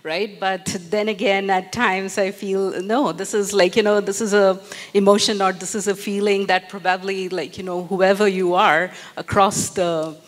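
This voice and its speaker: A woman's voice: mid-range voice, fairly even intonation, moderate pace, few pauses. Hesitant woman moderator, hall echo. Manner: many fillers, laughs softly. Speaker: panel moderator. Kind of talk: hesitant, rambling questions. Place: large event hall with an audience. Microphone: handheld mic. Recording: long reverb of a hall.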